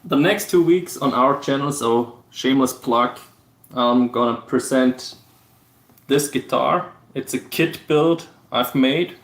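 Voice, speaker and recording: A man from Austria whose first language is German, speaking English with an Austrian German accent. He hosts a casual livestream and explains things step by step in a halting, low-key way. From Austria (Vorarlberg). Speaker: livestream host. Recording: roomy echo.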